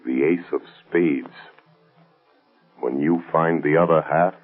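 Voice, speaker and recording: Very deep, slow, sinister phantom avenger. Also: male voice, fairly even intonation, full character voice. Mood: taunting, ominous. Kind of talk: 1930s-40s radio drama acting. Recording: old dull radio recording with music.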